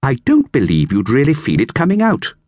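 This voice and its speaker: A man's voice: medium pitch, huge pitch swings, a little fast, a little mellow, no room sound, voice pushed up. A man voicing Mrs Barrable, a kindly, genteel older lady, in an audiobook. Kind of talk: audiobook character voice.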